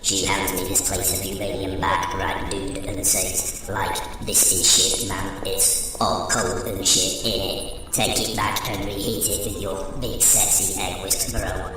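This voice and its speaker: A man voices a small ramshackle alien waiter in a sci-fi radio spoof. He is a dopey, laid-back dude with a flat, low drawl. It sounds distant and reverberant over music. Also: a little slow.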